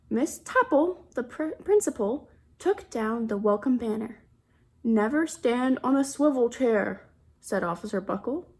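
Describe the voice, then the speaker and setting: High-voiced, unhurried woman reading a storybook. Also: slightly rough. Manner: expressive, pauses to comment and ask questions. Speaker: children's storybook reader, teacher. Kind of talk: animated picture-book read-aloud for children.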